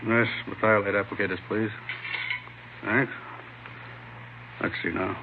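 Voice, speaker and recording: A firm hospital doctor with a lowish voice. He is clinical and brisk in the manner of old radio drama. The recording is muffled and noisy. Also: steady tone. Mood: businesslike. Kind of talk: 1950s radio drama acting.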